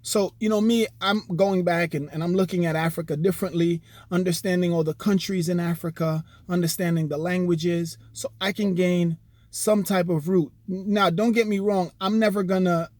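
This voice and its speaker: A man's voice: high-pitched, fairly even intonation, normal speed, darkish tone, no room sound. A man talking straight to his viewers in an earnest, persuasive way, often saying "you know" and restarting his sentences. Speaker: vlogger. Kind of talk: direct-to-camera commentary.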